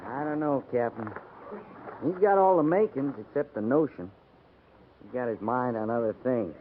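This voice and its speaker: A thin, faintly hissy old radio recording of a man playing an American frontier sergeant. He is gruff and sceptical, folksy and sparing with words, at an ordinary male pitch, in 1950s western drama style. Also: lively intonation, moderate pace, phone-quality sound, close to an everyday voice. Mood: matter-of-fact. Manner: folksy, laconic, dropped syllables.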